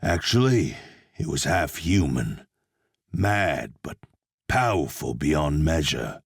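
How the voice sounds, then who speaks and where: An actor playing a gruff, hard-bitten demon hunter and vengeful soldier, terse and plain-spoken, with gravelly video game delivery. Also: male voice, a bass voice, big pitch swings, slow pace, no room sound, studio quality, heavily acted. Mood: grim, brooding. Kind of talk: video game voice lines, scripted.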